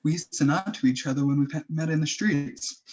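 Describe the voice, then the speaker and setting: A man playing a callous, flippant businessman in a radio play, with a husky voice. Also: a little high, fairly quick, darkish tone. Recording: slightly muffled video-call sound.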